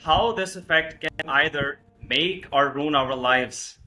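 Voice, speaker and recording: Bright-voiced male podcast host. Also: fairly high pitch, slow pace, a little hiss or hum. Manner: formal, hesitant with fillers. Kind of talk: podcast hosting, introducing guest.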